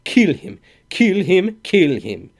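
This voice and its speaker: A man's voice: high-pitched, very animated, slow pace, creaky, voice pushed up, heavily acted. A man voicing a swaggering, insolent male traveler who jeers and mocks. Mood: mocking. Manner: insolent, mocking.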